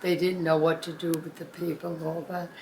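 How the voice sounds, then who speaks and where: A woman in her nineties with a gravelly throat, telling old family stories in a rambling, halting way full of uh's. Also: sits low, flat delivery, dark tone, reverberant, a little hiss or hum. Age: 90s. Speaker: birthday celebrant. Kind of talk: casual family storytelling.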